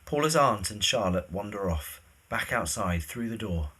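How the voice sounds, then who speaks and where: A man's voice: fairly low pitch, expressive, moderate pace, sharp tone, breathy edge. A man reading scripted stage directions as the narrator of an audio drama.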